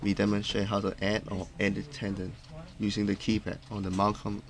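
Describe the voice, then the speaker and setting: A man narrating a step-by-step tutorial in a plain, instructional way, halting from phrase to phrase. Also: fairly low pitch, completely flat delivery. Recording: clearly noisy background.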